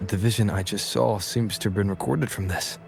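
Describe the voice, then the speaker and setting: Calm game hero thinking out loud, lowish voice, measured, bit of music behind. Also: male voice, vocal fry. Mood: pondering. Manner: musing, measured. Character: calm, thoughtful male traveller piecing together clues. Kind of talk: video game voice acting, reflective monologue.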